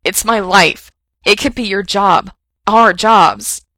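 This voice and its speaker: A woman's voice: fairly low pitch, steady tone, moderate pace, gravelly, dry recording. Woman reading as a tense, defensive young man. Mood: agitated. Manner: loud, strained outbursts. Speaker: reader voicing a character.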